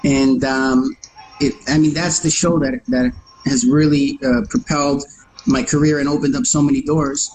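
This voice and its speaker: A man's voice: mid-range voice, level tone, normal speed, darkish tone, slight background noise, poor audio. A Canadian man with a slight New York accent, an actor chatting as a guest in a casual interview, friendly and often restarting his phrases.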